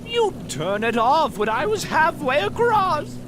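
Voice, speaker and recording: An actor playing a manic, theatrical supervillain clown in a comic dub, unhinged and swinging between shouts and mutters. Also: male voice, extremely high pitch, big pitch swings, measured pace, noisy background, exaggerated voice. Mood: wry storytelling. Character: manic, theatrical middle-aged male supervillain clown madman.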